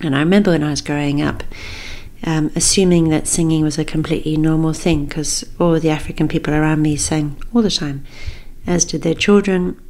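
A woman from Zambia hosting a podcast as a singing teacher, talking informally in a gentle, encouraging, friendly way. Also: deep voice, steady tone, fairly quick.

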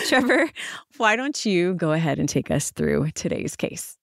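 A woman co-hosting a podcast, raspy from a sinus infection, chatting in a lively, enthusiastic way and laughing often. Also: fairly low pitch, measured pace, dry recording, studio quality. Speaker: podcast co-host. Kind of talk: casual chat.

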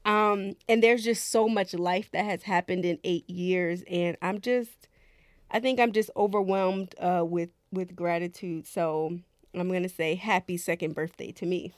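A woman co-hosting a podcast in casual chat, lively and talkative, laughing often. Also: on the low side, unhurried, no echo, studio quality. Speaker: podcast co-host.

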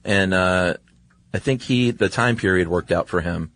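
A man's voice: sits low, normal speed, fairly bright tone. A man co-hosting a podcast in an informal, easygoing, conversational style. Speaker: podcast co-host. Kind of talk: casual conversational podcast chat.

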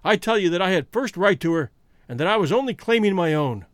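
A man's voice: sits high, lively intonation, quick pace, no echo, studio quality. A man voicing a fierce, lovesick American criminal in an audiobook story, with a bitter, blustering manner. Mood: defiant despair.

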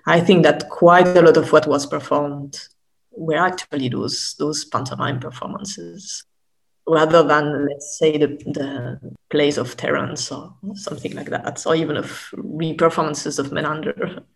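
Hesitant woman academic, gravelly creak. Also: low-pitched, fairly even intonation, low-quality recording. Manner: halting, repeats words while searching for phrasing. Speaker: academic expert guest. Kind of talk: explanatory interview conversation.

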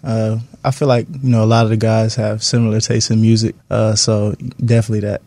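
A man in his twenties giving casual interview answers as an athlete, phrasing things haltingly. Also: lowish voice, little pitch movement, a little mellow, dry recording. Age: 20s. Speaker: professional basketball player being interviewed.

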